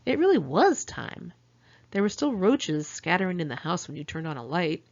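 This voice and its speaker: A woman's voice: lowish voice, sing-song, normal speed, gravelly, darkish tone, dull audio. A middle-aged woman narrates her own memoir in the first person, candid and wry with sardonic asides. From California, United States. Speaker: author reading own memoir. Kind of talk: first-person audiobook narration, diary storytelling.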